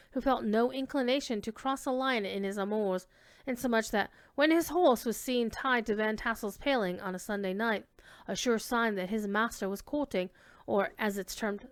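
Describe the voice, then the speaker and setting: A woman giving an expressive dramatic reading of classic literary narration, with emphasis on key words. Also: on the high side, smooth, no echo.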